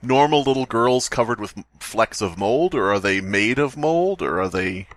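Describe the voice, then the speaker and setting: A man's voice: mid-range voice, expressive, normal speed, no echo, studio quality. A man co-hosting a podcast, critiquing a story in casual chat, rambling and swearing now and then. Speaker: podcast co-host.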